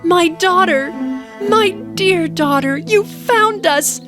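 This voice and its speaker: A woman voicing a loving mother in an animated fairy tale, emotional and exclaiming over her lost daughter. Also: very high voice, takes it slowly, over music, pitched above the performer's own voice. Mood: overjoyed.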